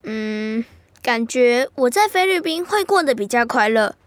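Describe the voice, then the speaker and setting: A woman's voice: a little high, lively intonation, a little slow, dry recording, studio quality. A woman playing an enthusiastic, curious, food-loving child in an educational radio drama. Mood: curious, chatty.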